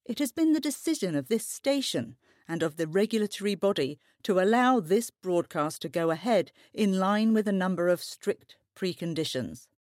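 An actor playing a composed female television news anchor, presenting formally in a measured, grave manner. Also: mid-range voice, lively intonation, a little mellow, no room sound, clean studio sound, lightly acted.

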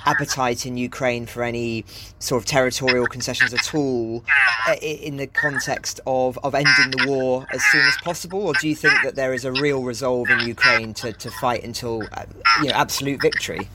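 A man hosting a podcast, asking interview questions in a measured, slightly hesitant way. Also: mid-range voice, steady tone. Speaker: podcast host. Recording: loud background noise.

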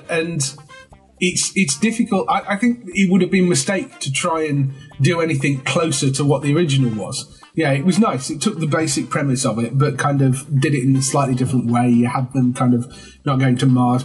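A man's voice: medium pitch, a little fast, a little mellow, soft background music, rough recording. Hesitant man hosting a chat. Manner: hesitant, many ums and laughs. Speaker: podcast host. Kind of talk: casual chat.

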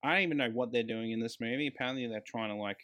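A man's voice: mid-range voice, rapid-fire, fairly bright tone. A man co-hosting a casual film review chat, emphatic and slangy, with plenty of swearing. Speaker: podcast co-host.

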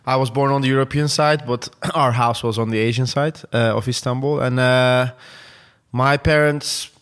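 A man's voice: mid-range voice, fairly even intonation, normal speed, a bit bright. A young man from Turkey with a Turkish accent, chatting casually as a podcast guest, full of fillers and restarts. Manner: rambling, many fillers and restarts. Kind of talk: casual interview chat.

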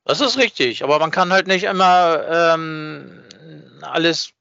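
A man with a bright, forward voice pitched a little high, chatting informally as a podcast co-host. He circles back over his own phrases in colloquial talk. The track is dry and slightly lo-fi. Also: unhurried, gravelly, clean tone, no room sound. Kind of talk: casual podcast chat about a hobby project.

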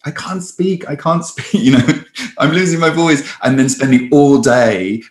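A man, a stage performer, chatting in a conversational interview with lots of restarts and lively storytelling. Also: fairly high pitch, unhurried, reverberant.

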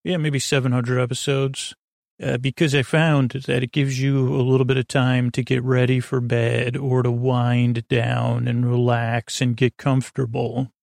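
A man hosting a bedtime podcast in a halting, meandering monologue that keeps drifting into tangents, with a creaky, gravelly voice. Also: medium pitch, level tone, a little mellow, no room sound, clean studio sound. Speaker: podcast host.

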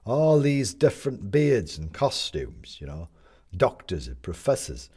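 A man's voice: lowish voice, a little slow, breathy edge, heavily acted. A man voicing a cheery, talkative stranger in a café queue who loves gossip. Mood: chatty. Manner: chatty and excitable.

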